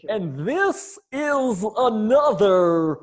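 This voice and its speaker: A woman's voice: mid-range voice, big pitch swings, pitched above the performer's own voice, full character voice. A woman voicing a theatrical old-time radio mystery announcer, drawing out the words. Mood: theatrical suspense. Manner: drawn-out, theatrical.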